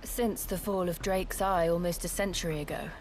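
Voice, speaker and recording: An actress in a video game cutscene playing a composed, knowledgeable companion who speaks cautiously and formally. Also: female voice, on the low side, fairly even intonation, moderate pace, slight background noise, close to an everyday voice. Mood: measured.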